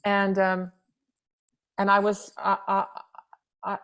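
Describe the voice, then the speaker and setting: Woman in her fifties, playwright guest, reflective and searching, restarts often, medium pitch. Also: monotone, slow pace, a bit bright, smooth. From United States. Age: 50s. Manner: thoughtful, many restarts and repeated words. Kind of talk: reflective interview conversation.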